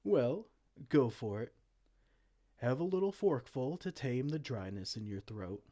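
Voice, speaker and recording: Man acting as evil fantasy king, unhurried delivery, mid-pitched, theatrical menace, silly, vain, secretly soft-hearted, comedic audio roleplay. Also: lively intonation. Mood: gleeful scheming. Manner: pompous theatrical menace slipping into casual dorkiness.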